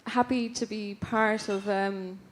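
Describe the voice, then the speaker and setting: A woman's voice: mid-range voice, fairly even intonation, slow pace, slight background noise. A woman from the west of Ireland with an Irish accent, giving an award acceptance speech on stage at a stage microphone, hesitant, with many fillers and restarts. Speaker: award winner, folk musician. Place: stage of a ballroom.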